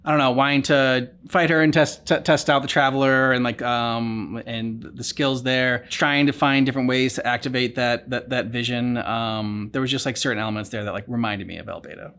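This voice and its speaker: Man, mid pitch, reaction host, casual game commentary, halting, thinking aloud. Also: steady tone, a bit bright, smooth.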